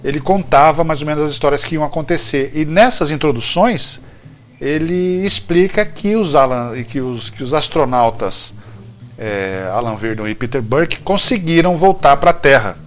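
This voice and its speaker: Higher-pitched Brazilian man hosting a chatty show. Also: animated, normal speed, a little hiss or hum. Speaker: show host. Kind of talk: casual, chatty TV-trivia commentary.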